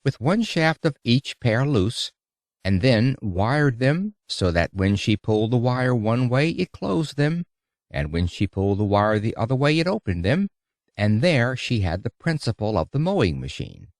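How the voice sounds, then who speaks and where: A man reading an audiobook of an old-fashioned public lecture in a theatrical, emphatic, oratorical manner with dramatic pauses. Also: mid-range voice, very animated, dry recording, clean studio sound. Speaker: audiobook reader.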